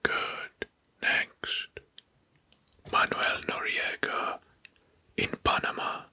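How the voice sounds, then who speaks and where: Slow, breathy whispering man, ASMR narration. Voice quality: breathy, mostly unvoiced whisper. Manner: hushed, halting, repeats words softly.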